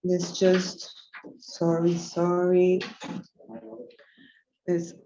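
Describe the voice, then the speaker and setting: Woman, 30s, from Venezuela; relaxed, unhurried tutorial delivery with fillers, webcam mic in a roomy office. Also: on the low side, noisy background. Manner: easygoing, many ums and self-corrections. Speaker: web designer giving a live tutorial. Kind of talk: live screen-share tutorial. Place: small office room, indoors. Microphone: laptop webcam mic on a video call. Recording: roomy, dull lo-fi call audio.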